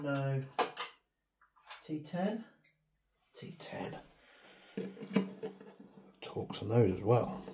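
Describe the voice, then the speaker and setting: A man's voice: mid-range voice, noisy background. A man rambling chattily through a hands-on product review, joking about his own waffling. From United Kingdom. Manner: rambling, chatty, self-deprecating. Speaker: airsoft video maker.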